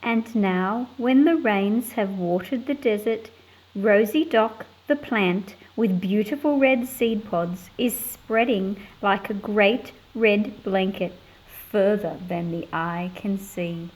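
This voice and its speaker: A woman reading a picture book aloud in a measured, explanatory way, as if for children. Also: medium pitch, low-quality recording. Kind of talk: read-aloud narration with short explanatory asides.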